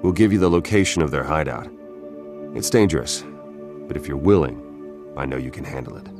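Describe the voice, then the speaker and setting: A steady guard captain in a fantasy video game. His voice is deep and commanding, firm but fair, with faint music behind it. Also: moderate pace. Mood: composed, encouraging. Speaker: military captain.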